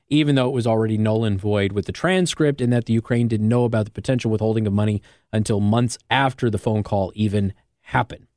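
A man's voice: mid-range voice, a little mellow, dry recording, studio quality. An American man hosting talk radio, delivering an opinionated monologue with emphatic stress on key words. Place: radio studio.

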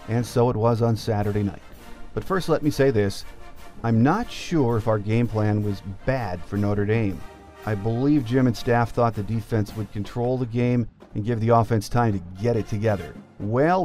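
A male podcast host with a mid-pitched voice reads his sports commentary in a plain-spoken, opinionated fan's manner. Faint music plays under him. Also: darkish tone. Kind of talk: scripted sports commentary monologue.

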